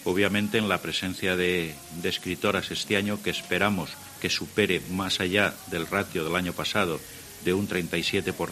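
Deep bright-toned man. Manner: matter-of-fact, listing points. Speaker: book fair director. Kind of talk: interview clip. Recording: faint background noise.

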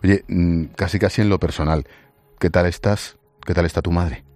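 Casting: male radio interviewer, deep voice, conversational questions, close dry studio recording. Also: steady tone, moderate pace, darkish tone, no room sound. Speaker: radio host.

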